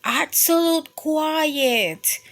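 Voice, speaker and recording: A woman's voice: high voice, very slow, a bit bright, pitched above the performer's own voice, exaggerated voice. A woman voicing a grumpy, fussy bear with a pompous, exasperated manner in a children's bedtime story. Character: grumpy, fussy, easily irritated bear wanting quiet.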